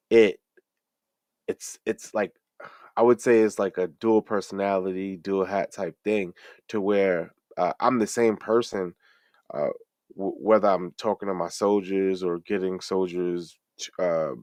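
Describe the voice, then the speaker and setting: A middle-aged man, a fellow streamer, answering interview questions in a friendly, reflective, halting way. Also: low-pitched, darkish tone, no echo, studio quality. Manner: rambling, halting, many restarts and fillers.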